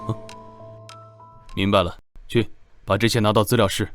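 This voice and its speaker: An actor playing a strict, protective knight captain in an animated drama, curt and commanding. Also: male voice, on the low side, moderate pace, soft background music, lightly acted. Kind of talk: Chinese animated drama voice acting.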